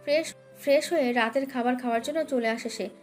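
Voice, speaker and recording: A woman's voice: high-pitched, a little fast. A woman narrating a dramatic romance story as a scripted audio tale. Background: background music.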